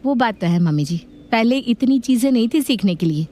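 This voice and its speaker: A woman playing a practical, respectful daughter-in-law in an animated family story, with an accommodating manner. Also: on the high side, brisk, natural voice. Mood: respectful, helpful. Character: polite, practical, capable modern daughter-in-law.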